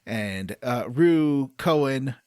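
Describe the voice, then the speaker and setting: A man's voice: mid-range voice, expressive, extremely slow, darkish tone, clear, resonant tone, no room sound, studio quality. A man hosting a casual podcast, recapping a video game in a rambling, enthusiastic way. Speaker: podcast host.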